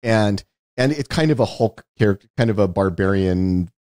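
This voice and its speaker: A man's voice: on the low side, moderate pace, a little mellow, no echo, clean studio sound. A man co-hosting a casual film discussion, telling anecdotes in a chatty way and acting out little quotes. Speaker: podcast co-host discussing films.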